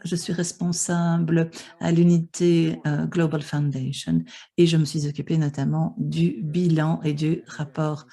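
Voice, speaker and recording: A woman's voice: sits low, level tone, unhurried, creaky, mellow. A woman interpreting a conference panel live, with many hesitations and restarts. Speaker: simultaneous interpreter for an online panel.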